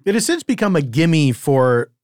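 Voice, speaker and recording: A man's voice: fairly high pitch, big pitch swings, darkish tone, dry recording, clean studio sound. A man co-hosting a podcast in a casual, enthusiastic way, stretching out his phrases. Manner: enthusiastic, drawn-out phrasing with laughs. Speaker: podcast co-host.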